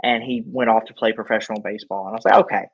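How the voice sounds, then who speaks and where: A man's voice: fairly low pitch, fairly even intonation, rapid-fire, husky, lo-fi sound. A man hosting a casual interview, talking in an informal, slangy and opinionated way. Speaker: podcast host.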